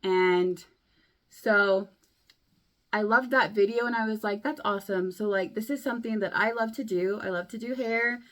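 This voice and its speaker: A young woman vlogging casually to camera, chatty and rambling, her voice a bit hoarse from a cold. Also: mid-range voice, normal speed, fairly bright tone. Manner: chatty, rambling, many likes and ums. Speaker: beauty vlogger.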